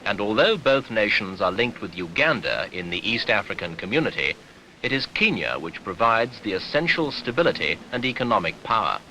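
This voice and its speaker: A man reading scripted 1970s newsreel documentary narration in a formal, measured way, clearly enunciated. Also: fairly low pitch, big pitch swings, bright, forceful tone. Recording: noisy 1970s newsreel film soundtrack.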